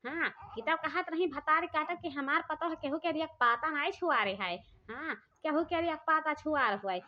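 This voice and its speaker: A woman's voice: high-pitched, moderate pace, close to an everyday voice. A woman playing an angry, scolding village farmer in a comic cartoon, speaking with an eastern Uttar Pradesh accent and repeating herself. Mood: indignant. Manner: scolding, repetitive, confrontational. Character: angry village woman farmer accusing thieves of stealing peanuts. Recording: dull lo-fi audio.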